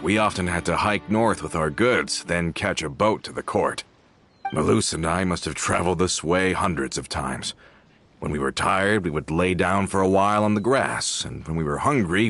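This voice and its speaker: A man voicing an aging, loyal working man in a video game, modest and respectful, talking in a measured, nostalgic way. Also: low-pitched, fairly bright tone. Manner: measured, reminiscing. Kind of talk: video game character acting.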